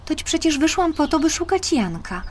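Woman as an earnest little girl, high and pleading. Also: big pitch swings, normal speed. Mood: anxious, pleading. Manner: pleading, wondering, raised and emphatic. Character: earnest, anxious little girl in a fairy tale.

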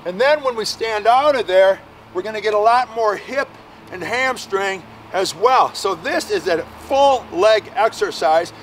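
Energetic man in his forties, high voice, fitness coach outdoors, faint traffic behind. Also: measured pace. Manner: energetic, emphatic, casual slang. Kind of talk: outdoor workout demonstration. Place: outdoor park playground.

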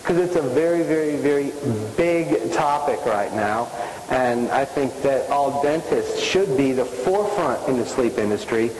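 A loud, middle-aged man speaks at a conference, chatty and energetic, pausing to quiz the room. His voice carries a long reverb through a big hotel ballroom, with a faint hiss under the sound. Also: mid-range voice. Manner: energetic, conversational, asks the audience questions. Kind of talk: conference lecture. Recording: echoey hall with faint hiss.

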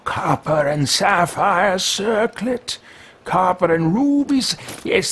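A man in his thirties playing a haughty, greedy mage who mutters to himself in a pompous, drawn-out way, recorded at home. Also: high voice, breathy edge, heavily acted. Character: haughty male mage-thief, self-talking, greedy. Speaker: let's play host. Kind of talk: in-character game roleplay. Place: home room with bookshelves.

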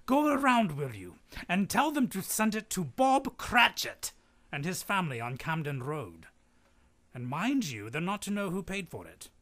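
An actor playing an old male miser in an old-time radio drama, theatrical and emphatic, with dramatic pauses. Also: sits high, big pitch swings, fairly bright tone, full character voice. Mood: giddy joy.

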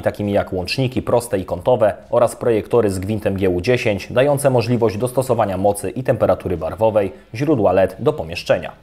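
Calm, low-voiced male DIY narrator. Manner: calm, even, step-by-step instructional delivery. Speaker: electrician presenting a how-to video. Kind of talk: scripted voice-over narration. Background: soft guitar music.

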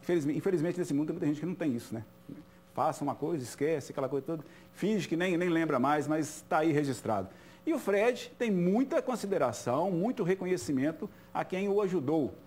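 A man's voice: a little high, lively intonation, a little fast, darkish tone, slight background noise. A middle-aged Brazilian man hosting a studio sports talk show.

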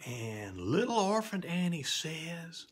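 A middle-aged man reciting a children's poem like a spooky storyteller, swinging between hushed whispers and loud cries. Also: on the high side, sing-song, takes it slowly, breathy edge. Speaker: storyteller reading children's poetry. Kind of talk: dramatic theatrical poetry recitation for children.

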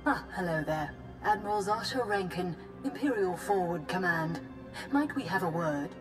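A woman's voice: lowish voice, expressive, moderate pace, close to an everyday voice. A woman playing a bold, ruthless female admiral in a video game, commanding and formal, with a sardonic edge. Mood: confident. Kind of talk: video game cutscene acting. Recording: orchestral game music behind.

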